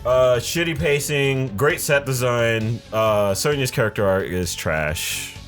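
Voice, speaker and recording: A man's voice: mid-range voice, slow pace, a bit bright, faint music behind. A blunt, sardonic man co-hosting a review show in casual banter, swearing freely. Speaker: co-host of a movie review show.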